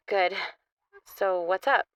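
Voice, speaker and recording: An actress playing a calm, measured young woman investigator in an audio drama. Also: medium pitch, clean tone, dry recording.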